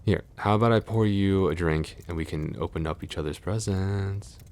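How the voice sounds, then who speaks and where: A young man playing a gentle, nervous best friend in an ASMR boyfriend roleplay, speaking softly and hesitantly in a tender, reassuring way. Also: normal speed. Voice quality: very deep, creaky.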